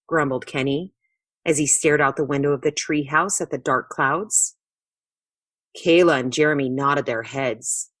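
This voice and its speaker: A woman reading a scripted children's read-along story in an expressive, deliberate, instructive way. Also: sits low, slightly rough, no room sound. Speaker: storybook narrator.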